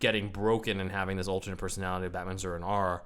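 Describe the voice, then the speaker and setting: A man co-hosting a casual podcast, explaining comic book history at length in an animated way. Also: deep voice, racing, smooth. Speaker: podcast co-host.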